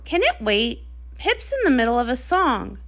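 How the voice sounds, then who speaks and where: A woman voicing a grumpy, anxious young pony princess, a tomboy sister with a flat, sardonic, reluctant way of talking. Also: fairly high pitch, normal speed, voice pushed down. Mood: irritated.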